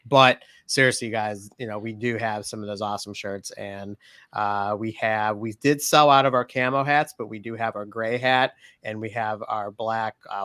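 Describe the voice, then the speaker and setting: A man's voice: on the low side, sharp tone, no echo, studio quality. A man co-hosting a casual livestream chat, upbeat and joking as he plugs merch. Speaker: co-host.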